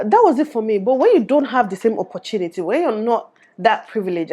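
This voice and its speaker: Brief: young Nigerian woman, Nigerian accent, rapid animated vlog delivery, mid-pitched. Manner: animated, switches into Pidgin. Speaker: YouTuber. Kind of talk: opinionated to-camera vlog. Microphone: lapel.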